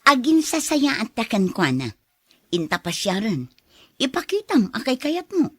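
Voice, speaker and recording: A woman's voice: a little high, wildly swooping pitch, unhurried, fairly bright tone, husky, no echo, studio quality, exaggerated voice. A woman playing a greedy, persuasive wife who eggs on her husband in a radio drama. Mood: plotting. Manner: insistent, persuasive.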